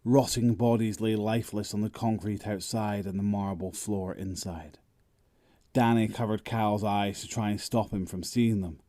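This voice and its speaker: A man's voice: on the low side, mellow, no echo. A man narrating a scripted dramatic story.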